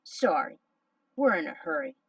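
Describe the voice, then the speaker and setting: A woman's voice: sits low, sing-song, moderate pace, creaky, dry recording, distant mic, highs cut off, voice pushed down, exaggerated voice. A woman voicing a bulky male wrestler who talks curtly in a story reading.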